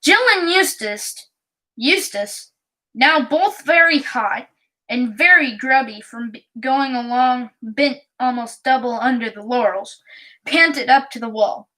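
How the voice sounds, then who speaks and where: A woman reading a children's storybook aloud in a careful, halting way. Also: high-pitched, pushed and bright, rough recording. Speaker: audiobook reader.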